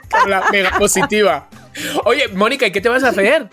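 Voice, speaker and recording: A woman's voice: medium pitch, expressive, normal speed, over loud music. A woman with a Spain Spanish accent co-hosting a casual podcast, playful and repeating words for emphasis. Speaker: podcast co-host. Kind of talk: casual chat among friends.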